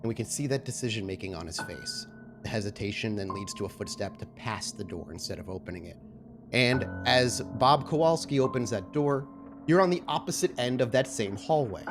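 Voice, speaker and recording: A man's voice: medium pitch, animated, a little fast. A man working as game master, narrating a tabletop roleplaying game. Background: background music.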